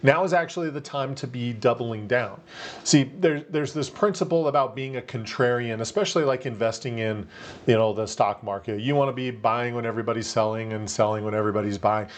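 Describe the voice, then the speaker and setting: Guy with a radio-type voice pitching marketing tips to camera, chatty, lots of ums. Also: a little fast. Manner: upbeat, persuasive, many fillers. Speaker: marketing coach for real estate agents. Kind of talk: casual talk to camera.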